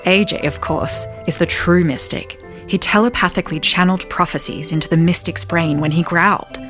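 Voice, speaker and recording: A woman's voice: fairly low pitch, fairly quick, lightly acted. A woman doing an Australian-accented talking poodle. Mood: deadpan narration. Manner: dry storyteller, groggy and bewildered. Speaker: narrator and dog character. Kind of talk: comedic audio drama narration. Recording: music bed behind the voice.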